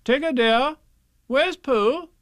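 A man's voice: very high voice, slow, fairly bright tone, no room sound, clean studio sound, voice pushed up, full character voice. A man voicing a kind, firm mother kangaroo in a storybook reading. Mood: gentle.

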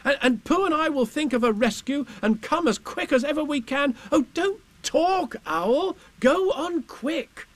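A man's voice: very high voice, animated, unhurried, hardly any pauses, dry recording, voice pushed up, exaggerated voice. A man voicing an innocent, affectionate young boy in a children's story, earnest and childlike. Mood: urgent. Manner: bright-eyed, earnest, childlike questioning.

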